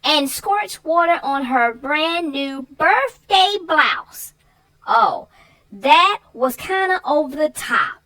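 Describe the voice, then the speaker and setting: Woman, casual chat, lively swooping voice, animated, unhurried. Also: high voice, poor audio. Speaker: co-host discussing the story. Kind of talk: casual chat about a children's book.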